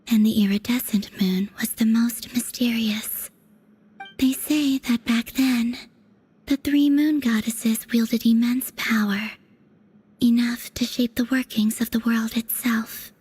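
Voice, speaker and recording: Breathy whispery mystery girl, soft n calm, game cutscene. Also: fairly high pitch, slow, exaggerated voice. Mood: hushed. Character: mysterious ethereal young moon-bound woman. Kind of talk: video game voice acting.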